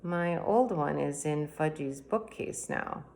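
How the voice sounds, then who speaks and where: A woman reading a children's book aloud in a plain, even storytelling manner. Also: low-pitched, slow, vocal fry, a little hiss or hum. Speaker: reader.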